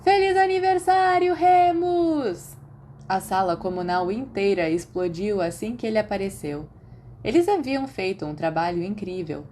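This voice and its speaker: A woman's voice: on the low side, lively intonation, normal speed, mellow. A woman with a Brazilian accent reading scripted fiction as an audiobook narrator. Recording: faint background noise.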